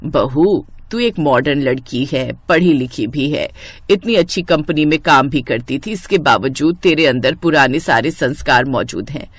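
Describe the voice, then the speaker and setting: Lady doing kindly older mother-in-law from a cartoon, deeper voice, motherly and frank. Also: animated, measured pace, breathy edge, no room sound, heavily acted. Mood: approving.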